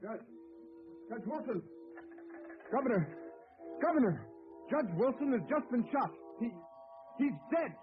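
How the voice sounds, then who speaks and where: A fretful, deferential manservant in a vintage radio play. His pitch climbs and dips readily. The sound is thin and phone-like. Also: animated, a little slow, long pauses, loud music behind the voice. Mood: panicked. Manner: fretful and polite. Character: nervous, deferential male servant. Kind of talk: 1940s radio acting. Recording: tinny old radio transcription.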